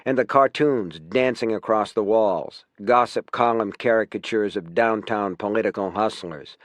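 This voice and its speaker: A man reading a nonfiction audiobook in a measured, clearly phrased scripted narration. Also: on the low side, no echo.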